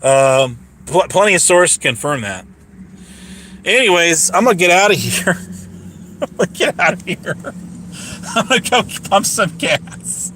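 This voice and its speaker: Hoarse, bright-voiced boastful man. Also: sits high, expressive, a little slow, pauses often, slight background noise. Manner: boastful, repeats himself, laughs mid-sentence. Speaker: livestreamer. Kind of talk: rambling casual livestream talk.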